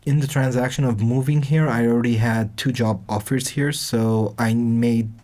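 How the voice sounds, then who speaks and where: A podcast guest from Lebanon, telling the story of a move in a measured, orderly way. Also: medium pitch, steady tone, mellow. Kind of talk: podcast interview.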